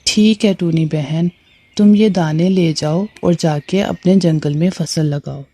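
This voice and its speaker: A woman's voice: lowish voice, fairly even intonation, measured pace, warm tone. A woman playing a helpful, cautious male bird in a moral story cartoon, speaking earnestly.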